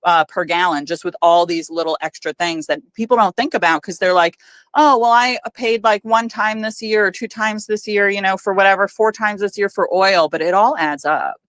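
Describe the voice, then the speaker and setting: A woman's voice: medium pitch, normal speed, a bit bright, dry recording. Chatty American woman, dry sound. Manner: chatty, fillers like "you know". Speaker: guest speaker. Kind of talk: casual chat.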